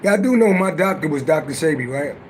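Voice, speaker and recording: A middle-aged man with a naturally raspy voice, chatting loosely and profanely on a livestream and answering viewers' comments. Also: expressive, fairly quick, slight background noise. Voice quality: deep voice. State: voice a little worn from singing. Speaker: livestream host and astrology reader. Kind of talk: casual livestream chat.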